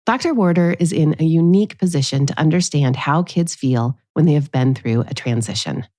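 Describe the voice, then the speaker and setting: A woman's voice: low voice, normal speed, mellow, no room sound. A woman hosting a podcast as a pediatrician, delivering scripted narration addressed to parents.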